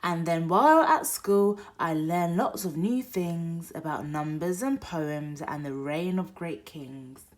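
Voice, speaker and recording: A woman reading a picture book aloud for toddlers, expressive, moving between hushed whispers and loud exclaims. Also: fairly low pitch, takes it slowly, hardly any pauses. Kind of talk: read-aloud bedtime story for toddlers.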